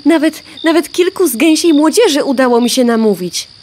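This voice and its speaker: A woman's voice: sits high, expressive, brisk, darkish tone, slight background noise. A woman voicing an eager, naive young hen in a children's audio play. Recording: faint outdoor cricket ambience.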